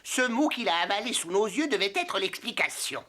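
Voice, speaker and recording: A woman's voice: mid-range voice, very animated, moderate pace, pushed and bright. A woman voicing a mischievous, suspicious young male monkey sidekick in a French cartoon, conspiratorial and theatrical. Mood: sleuthing.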